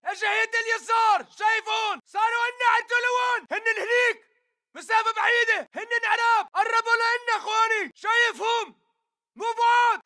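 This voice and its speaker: An aggressive militant in a shooter game, yelling at a very high pitch. His Levantine Arabic comes in urgent, clipped battle barks with a hard, bright edge. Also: heavily acted. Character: fierce male militant fighter, aggressive and defiant. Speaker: enemy soldier voice in a shooter game. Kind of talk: combat battle chatter, shouted barks.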